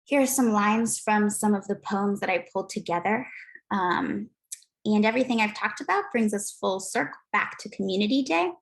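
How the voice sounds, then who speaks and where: A woman's voice: mid-range voice, expressive, normal speed, vocal fry, poor audio. A woman teacher giving a prepared talk about her class, earnest and sprinkled with ums.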